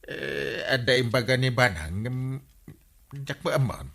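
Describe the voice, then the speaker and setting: A man's voice: medium pitch, expressive, a little slow, creaky, pushed and bright, exaggerated voice. A man playing a bumbling, forgetful village captain in an Ilocano comedy radio drama, stammering and whining out excuses with an Ilocano accent. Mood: hesitant. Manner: stammering, flustered, whiny excuses.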